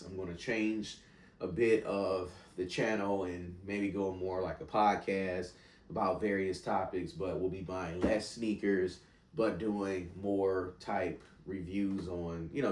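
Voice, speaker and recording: Congested deep-voiced man in an echoey room. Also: recorded from a distance, a little hiss or hum. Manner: rambling, relaxed and chatty. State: congested with a cold. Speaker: sneaker reviewer. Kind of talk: casual sneaker review.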